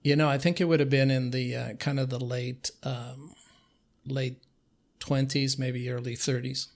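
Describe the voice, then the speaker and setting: An older man who grew up in Cuba with Spanish as his first language. He talks as a guest in a conversational, reminiscing way, with a flat, mid-pitched delivery. His phrasing is halting, with many searching pauses. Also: vocal fry. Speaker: interview guest.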